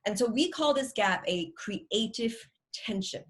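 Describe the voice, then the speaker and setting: A woman from Singapore with a Singaporean accent, giving an energetic, upbeat workshop talk over a video call. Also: a little high, measured pace, breathy edge. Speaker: workshop speaker. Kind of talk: online workshop presentation. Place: home room with virtual background.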